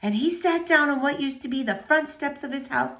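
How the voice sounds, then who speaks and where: A woman reading a children's book aloud as scripted, expressive narration. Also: high-pitched, brisk. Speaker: storybook reader.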